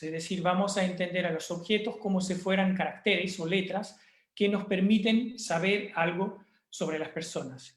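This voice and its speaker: A man with a Chilean Spanish accent giving a university course presentation over a video call, thoughtful and pausing with fillers and restarts. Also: high-pitched, reverberant. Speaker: university lecturer.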